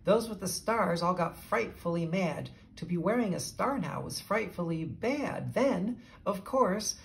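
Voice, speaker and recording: A woman reading a rhyming children's story aloud in an expressive storytelling style. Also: lowish voice, gravelly. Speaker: storybook reader.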